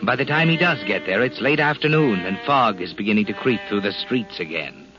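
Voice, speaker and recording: An actor playing a taunting, all-knowing narrator with a deliberate, insinuating delivery in 1940s radio mystery style. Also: male voice, on the low side, lively intonation, over music, natural voice. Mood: ominous, urging. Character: sinister, taunting omniscient narrator, a man's needling conscience. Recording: muffled 1940s radio recording.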